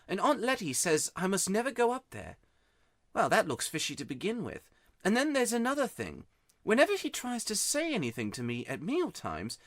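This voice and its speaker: Worked-up schoolboy, raised animated voice, close and dry, put on by a narrator. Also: dry recording. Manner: pleading, worked up, raised pitch. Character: grubby, miserable schoolboy, tearful and excitable. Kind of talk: audiobook character voice.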